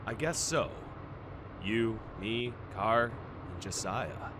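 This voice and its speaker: Man playing a deep, grave ship's first officer. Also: background noise. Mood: troubled, confiding. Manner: measured, formal, serious. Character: grave, loyal male first officer and adviser. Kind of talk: fan radio-play acting. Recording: music behind the voice.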